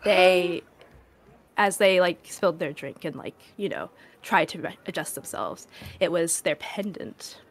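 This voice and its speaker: A woman's voice: lowish voice, animated, measured pace. A woman playing a tabletop game, improvising as she describes what her character does.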